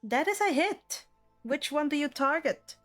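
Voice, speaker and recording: Slow-paced, higher-voiced woman running a game. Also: lively intonation. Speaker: game master. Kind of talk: narrating a tabletop roleplaying game session. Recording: music behind the voice. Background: faint music.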